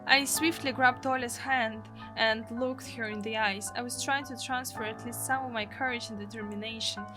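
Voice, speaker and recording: A woman's voice: on the high side, fairly even intonation, moderate pace, music in the background, low-quality recording. A woman from Russia, reading a visual novel's story aloud expressively as a video host.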